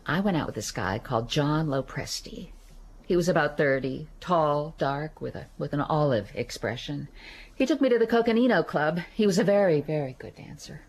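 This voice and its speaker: An actress playing a guarded middle-aged American woman who gives halting, reluctant testimony in a naturalistic radio drama. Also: low-pitched, lively intonation, a little mellow. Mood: reluctant, reminiscing. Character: guarded, weary American woman witness recalling an assault.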